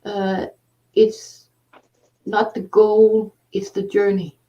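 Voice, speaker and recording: A woman host with a Danish accent, talking in halting casual conversation with many fillers. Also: mid-range voice, little pitch movement, warm tone. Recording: distant, dull lo-fi sound.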